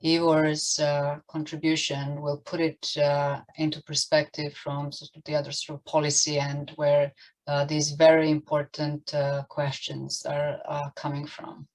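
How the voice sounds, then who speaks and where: A woman moderating an online panel in halting, hesitant phrases. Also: deep voice, completely flat delivery, low-quality recording. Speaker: event moderator. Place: online video call.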